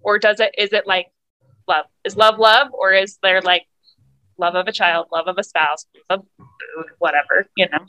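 A woman's voice: medium pitch, fairly even intonation, normal speed, pushed and bright, no echo, poor audio. A woman co-hosting a casual podcast, an engaged coach who asks follow-up questions.

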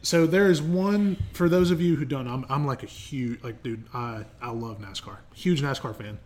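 A man's voice: mid-range voice, moderate pace, a little mellow. A man from the United States co-hosting a podcast, speaking informally and emphatically in casual discussion. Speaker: podcast co-host.

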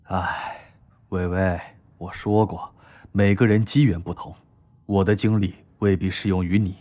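An actor playing a calm, patient, middle-aged uncle and company boss in an audio drama, advising in a measured way. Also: male voice, low-pitched. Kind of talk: modern audio drama acting.

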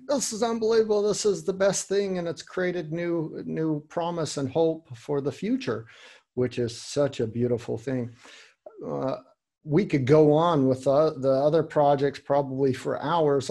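A man's voice: mid-range voice, dark tone. A man hosting a podcast, asking long, winding questions with fillers. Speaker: podcast host. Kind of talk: interview questions.

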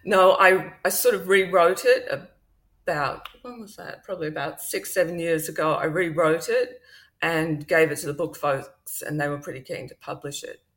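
A woman in her forties with a Welsh-Australian accent, a novelist telling her story in a relaxed, chatty way over a video call. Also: low-pitched, moderate pace. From Wales. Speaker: novelist guest. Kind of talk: remote interview.

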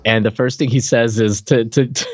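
A man co-hosting a podcast, chatting casually and animatedly with halting repeats, on slightly muffled audio. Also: medium pitch, fairly even intonation, darkish tone, slightly rough, dry recording. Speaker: podcast co-host. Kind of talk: casual chat about a comedy show. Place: recording on camera for a video podcast. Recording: dull, close, dry audio.

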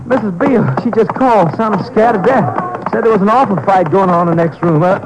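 An actor playing a flustered, anxious hotel desk clerk in a 1950s radio drama. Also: male voice, high-pitched, fairly quick, loud music behind the voice, natural voice. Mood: alarmed, hurried. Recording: dull old radio recording.

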